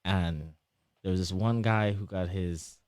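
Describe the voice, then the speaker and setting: A young man whose Trinidadian accent drifts toward American, a guest explaining things in a lively, casual way with lots of examples and fillers. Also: low-pitched, a little slow, dry recording, studio quality. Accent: Trinidadian shifting toward American. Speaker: interview guest, PhD student.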